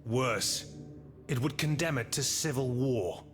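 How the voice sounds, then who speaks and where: An actor playing a resolute revolutionary leader in a historical video game, formal and emphatic. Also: male voice, medium pitch, animated, slow pace, fairly bright tone. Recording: music behind the voice.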